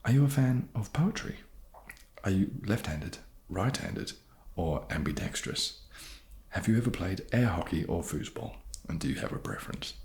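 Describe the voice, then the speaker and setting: A soft-spoken man casually answering viewer questions in a gentle, wry way. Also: low voice, sing-song, moderate pace, gravelly. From New Zealand.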